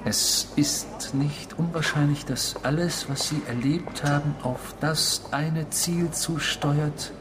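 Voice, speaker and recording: A man's voice: on the high side, steady tone, slow, few pauses, noisy background. A male actor in a German radio drama playing an intrusive, obsessive stranger who talks insistently and theatrically. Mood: probing, insistent. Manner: insistent, theatrical, probing, swinging from hushed to emphatic.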